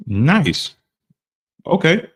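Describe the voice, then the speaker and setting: A man's voice: on the high side, sing-song, drawn-out words. A man hosting a casual reaction chat, laid-back and rambling, with lots of fillers. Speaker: host.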